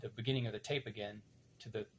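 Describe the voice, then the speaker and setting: Halting older man explaining, with noticeable background noise. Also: fairly low pitch, steady tone. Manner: unhurried, halting, thinking aloud while working. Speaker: demonstrator of reel-to-reel tape machines. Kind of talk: casual explanatory demonstration.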